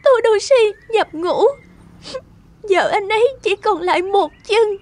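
A woman voicing an emotional, earnest young woman in love in an animated cartoon. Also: very high-pitched, takes it slowly. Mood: tearful, pleading.

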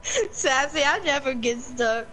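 A woman's voice: high voice, expressive, slow, a bit bright, low-quality recording. A giggly woman chatting casually and teasing her friend during a game stream. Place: voice chat over a game stream.

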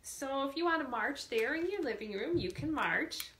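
A woman leading a storytime for young children, reading a picture book expressively and deliberately, drawing out words and asking the children questions. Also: high voice, expressive, fairly bright tone, slight background noise. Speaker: children's librarian hosting storytime. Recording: echoey room sound.

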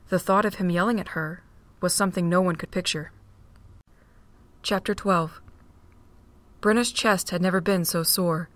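A woman narrating a fan-made audio drama in a steady, plain reading voice. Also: fairly low pitch.

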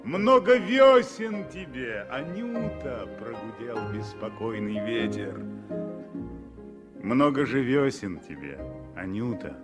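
Slow solemn guy reading an old soviet radio drama, poetic and declaiming, music behind him. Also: medium pitch, sing-song. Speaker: narrator of a radio play. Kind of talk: dramatic 1960s Soviet radio theatre narration.

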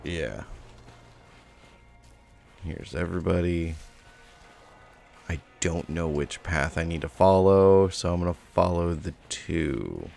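A man with a low, gravelly voice talks through a video game in a casual, laid-back way. His pitch moves around freely as he thinks out loud, like a streamer keeping up a running commentary. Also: lively intonation, slow pace, pauses often, soft background music.

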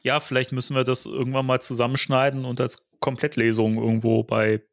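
A man's voice: medium pitch, little pitch movement, a little fast, vocal fry, no echo, clean studio sound. A man hosting a podcast, reading a novel aloud and breaking off with giggly asides. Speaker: podcast host.

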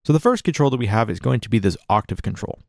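A man narrating a software tutorial as an instructor, in a casual, conversational, explanatory manner, close to the mic. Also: fairly low pitch, lively intonation, quick pace, vocal fry, dry recording. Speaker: music production tutorial instructor.

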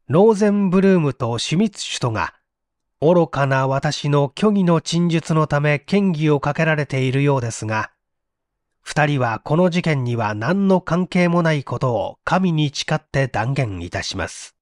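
A man's voice: on the high side, animated, moderate pace, dry recording, clean studio sound, lightly acted. A man voicing a remorseful condemned young man giving a solemn, formal confession in an audio storybook. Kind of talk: dramatic monologue in audio storybook.

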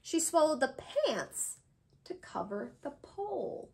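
A woman around her thirties reading a children's picture book aloud, expressive and sing-song, with drawn-out pauses for young listeners. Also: high voice, background noise. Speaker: read-aloud host, tutor. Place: small indoor room with bookshelves.

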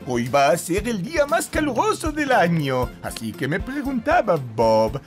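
An eager, fussy young chef from a children's cartoon. His Castilian Spanish is high for a male voice and theatrically sing-song, with faint music behind it. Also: wildly swooping pitch, normal speed. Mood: hopeful.

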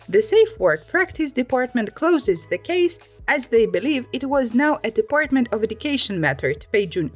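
A woman reading online stories aloud as a host, in scripted storytime narration. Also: a little high.

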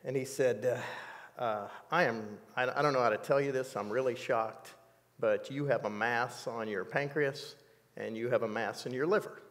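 A man in his sixties telling a personal story in an interview, measured and reflective, with many fillers, on a dark studio set. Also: mid-range voice, long reverb tail. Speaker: interview guest.

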